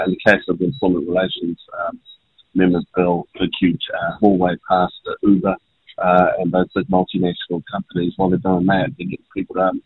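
A deep, gravelly man with a New Zealand accent calls in from a train. His husky voice swings widely in pitch as he argues his point with passion. It all comes down a tinny phone line. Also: no echo. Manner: impassioned, pointed. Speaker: politician guest. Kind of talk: phone-in interview.

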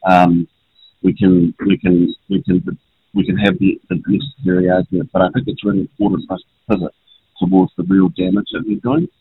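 Gravelly New Zealand man on a phone line. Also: deep voice, lively intonation, brisk. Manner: impassioned, pointed. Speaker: politician guest. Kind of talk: phone-in interview. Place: on a train.

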